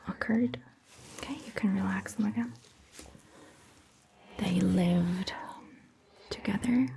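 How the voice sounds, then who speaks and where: A woman voicing a calm, attentive vampire doctor in a gentle, breathy, mostly whispered ASMR roleplay, speaking in a measured way. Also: medium pitch, poor audio. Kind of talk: soft-spoken ASMR roleplay.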